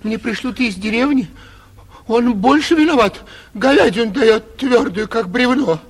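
A man playing a vain, petulant, cowardly young gentleman in a 1940s theatrical radio play, whining and indignant in a husky voice, with long reverb on the old recording. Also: very high-pitched, a little slow, heavily acted. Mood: defensive whining. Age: young adult.

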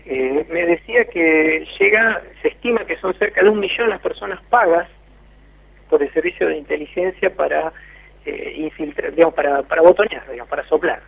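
A man's voice: on the high side, normal speed, gravelly, fairly bright tone. A man with an Argentine accent reporting by phone for a radio interview, hesitant, with many fillers and restarts. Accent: Argentine Spanish. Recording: tinny phone line.

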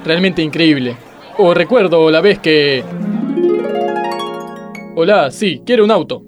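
A man's voice: a little high, lively intonation, takes it slowly. An Argentine man playing a hapless, self-pitying judge in a radio comedy sketch. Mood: earnest. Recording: background music and crowd noise.

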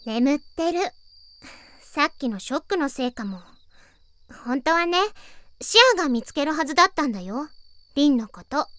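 A really high, lilting anime teen girl for audio drama, playful n pouty, kinda lonely, swoops around a lot. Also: expressive, normal speed, long pauses, no room sound, clean studio sound. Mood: quiet, wistful. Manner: playful teasing, soft pouting delivery.

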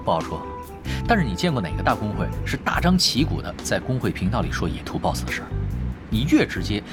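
A man playing a calm, shrewd young gamer strategist in a Mandarin audio drama, explaining schemes with casual confidence. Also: lowish voice, quick pace. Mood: confident, scheming. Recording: music bed under the dialogue.

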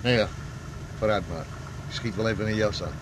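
A man's voice: on the low side, normal speed, close to an everyday voice. An actor playing a chatty, nosy ferryman, blunt and folksy, in a naturalistic radio drama. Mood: grudging, chatty. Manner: blunt, folksy, tags remarks with questions. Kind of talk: naturalistic 1980s Dutch radio drama acting. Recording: loud engine-like noise behind the voice.

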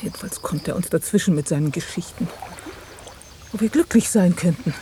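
An actress in a German radio drama playing an intimate, urging, uneasy woman biologist with an expressive delivery. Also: on the low side, a little fast, slight background noise, close to an everyday voice. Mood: brooding, urging.

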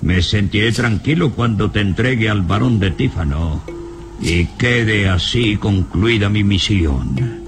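A man's voice: on the low side, animated, measured pace, music in the background. An actor playing a weary, anxious professor in a radio serial, fretful and hesitant as he complains. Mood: weary, reluctant. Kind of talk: Spanish-language radio serial acting.

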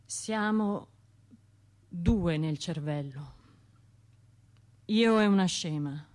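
Anxious tuscan woman in a radio drama, talks to herself slowly, keeps breaking off and doubting herself. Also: on the low side, a little mellow. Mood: bitter, anxious. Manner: halting, fragmented, self-interrupting inner monologue. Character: traumatised woman bombing survivor, anxious and self-doubting, talking to herself.

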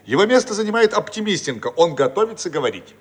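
High-toned male narrator, lively intonation. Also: fast talker. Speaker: narrator reading stage directions. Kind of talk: scripted radio play narration. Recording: noisy archive radio recording.

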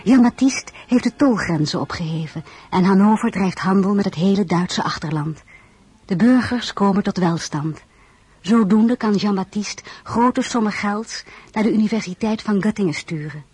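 An actress playing a reflective young wife and mother, narrating her life in a measured, intimate way in a radio drama. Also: medium pitch, darkish tone, breathy edge, dry recording.

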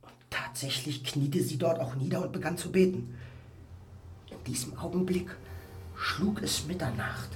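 Actor as haunted young nobleman, higher-pitched, halting. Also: slight background noise, lightly acted. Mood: rapturous. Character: sensitive young nobleman recounting an uncanny night, awestruck. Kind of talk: German radio drama acting.

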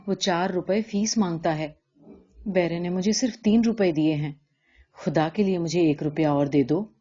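A woman's voice: on the low side, warm tone, lo-fi sound, lightly acted. A woman voicing a poor, sad mother in a story, pleading desperately and plaintively.

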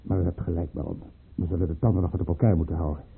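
An old, narrow-sounding radio drama recording features a dutiful court adjutant under strain. His voice is very hoarse-edged and husky. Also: low-pitched, steady tone, rapid-fire, exaggerated voice. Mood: weary, anxious. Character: tense, dutiful military adjutant at imperial court. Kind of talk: Dutch radio play acting. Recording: band-limited old radio play recording.

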